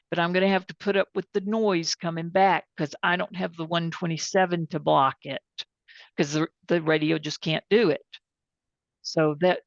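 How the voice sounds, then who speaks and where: A woman's voice: lowish voice, dry recording. Plainspoken woman, dull close-up sound. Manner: plainspoken, candid about what she doesn't know. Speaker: presenter and tech support. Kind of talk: informal software demonstration with questions. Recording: dull, band-limited sound.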